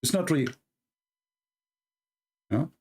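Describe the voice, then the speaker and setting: A man's voice: a little high, lively intonation, slow pace, a little mellow, breathy edge. A man with an Arabic accent, a native Arabic speaker from the Middle East, hosting a talk show in blunt, mocking casual commentary with broken English grammar. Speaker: debate show host.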